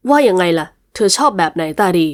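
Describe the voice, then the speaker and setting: A woman's voice: fairly low pitch, animated, quick pace, voice pushed down, natural voice. A woman voicing a furious, jealous young man who speaks harshly through gritted teeth, in a storybook reading. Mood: menacing low.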